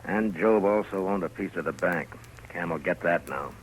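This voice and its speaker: Radio western lead: male actor as a stern lawman, very low voice, terse and measured. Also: a little hiss or hum, natural voice, low-quality recording. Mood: brooding. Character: stern, principled, world-weary frontier marshal, man. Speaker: series lead. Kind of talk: 1950s radio acting.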